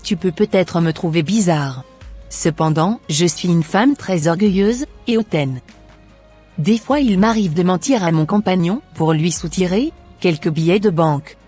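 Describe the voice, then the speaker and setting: Woman voicing a husky, haughty team leader. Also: on the low side, a little slow, a little mellow, faint music behind.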